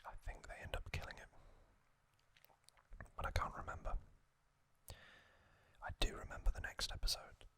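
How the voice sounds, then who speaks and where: An ASMR host speaking in a breathy, unvoiced whisper, recapping trivia in a hushed, halting way with pauses.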